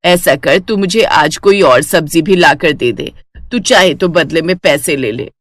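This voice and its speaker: A woman's voice: low voice, measured pace, dry recording, clean studio sound, voice pushed down. A woman voicing a stingy, wheedling middle-aged neighbour aunty in a cartoon story.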